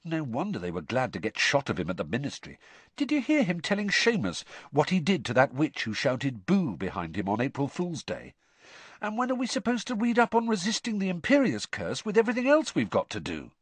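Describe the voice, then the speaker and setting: A man's voice: medium pitch, huge pitch swings, fairly quick, breathy edge, no room sound, voice pushed up. A man voicing a chatty, nervous teenage schoolboy in an audiobook, complaining in a colloquial way. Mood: incredulous grumbling.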